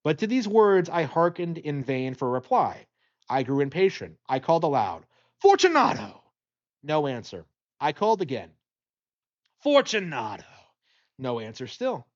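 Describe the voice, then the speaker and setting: A man with a slightly high voice narrates a gothic audiobook. His delivery is dramatic and very varied in pitch. The recording sounds a bit dull. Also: sing-song, normal speed, a little mellow.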